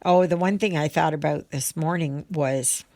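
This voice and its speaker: A woman chatting casually as she does her crafts, rambling in a halting, unhurried way and thinking aloud. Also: low-pitched, a little mellow, no room sound. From Canada. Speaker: craft vlogger. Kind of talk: casual rambling chat while stitching.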